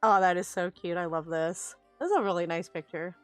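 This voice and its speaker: A woman's voice: on the low side, a little fast, no echo, soft background music. A woman streaming a video game, chatting to viewers and reading the game's text aloud into a close mic.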